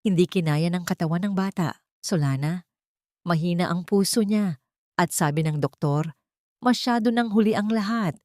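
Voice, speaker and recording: A woman's voice: lowish voice, moderate pace, a little mellow, no room sound, clean studio sound, natural voice. A woman narrator voicing a heartless older mother-in-law in a melodrama, matter-of-fact and stern. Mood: cold, authoritative. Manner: unhesitating, matter-of-fact, authoritative. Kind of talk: acted dialogue in melodrama narration.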